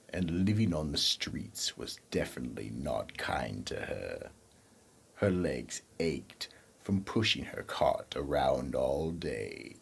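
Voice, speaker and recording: A man narrating a scripted horror radio drama in a dramatic, ominous manner, with a very raspy, gravelly voice. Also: very deep voice.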